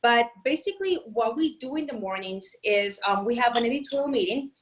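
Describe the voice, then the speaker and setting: A woman's voice: on the high side, animated, brisk. A woman reporter from Colombia, raised in Miami, talking in a friendly, chatty way over a phone on a video call. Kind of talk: casual talk.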